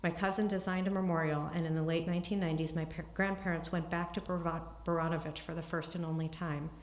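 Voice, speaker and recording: A woman's voice: lowish voice, fast talker. Woman speaking in an echoey sanctuary. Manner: earnest, steady, with small restarts. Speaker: congregant giving a family history talk. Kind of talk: prepared talk then answering questions. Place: synagogue sanctuary. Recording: echoey room.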